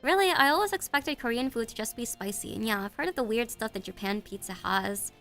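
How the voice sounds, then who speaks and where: A woman's voice: on the high side, expressive, brisk, fairly bright tone, soft background music. A woman with a congested, stuffy voice, chatting casually with her stream audience, giggling and stumbling over her words. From United States. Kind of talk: casual live-stream chat.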